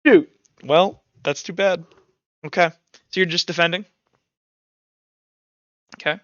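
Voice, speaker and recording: A man's voice: sits high, steady tone, unhurried, no echo, highs cut off. A young man running a tabletop roleplaying game as game master, talking informally and thinking aloud as he narrates.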